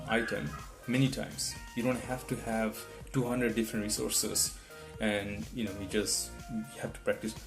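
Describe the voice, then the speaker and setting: A man whose first language is not English gives rambling test-prep advice, restarting often and checking in with "right?". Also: fairly low pitch. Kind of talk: casual advice talk to camera. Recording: guitar music in the background.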